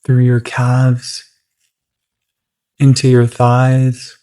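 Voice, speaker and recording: A meditation guide speaking gently and soothingly, unhurried, with long pauses between phrases. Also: mid-range voice, completely flat delivery, clean tone.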